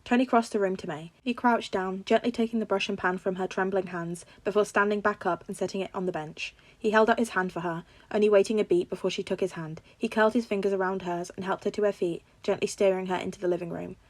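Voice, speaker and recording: A woman narrating fan fiction in an expressive storytelling style. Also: mid-range voice, fast talker, few pauses, dry recording. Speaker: podfic reader.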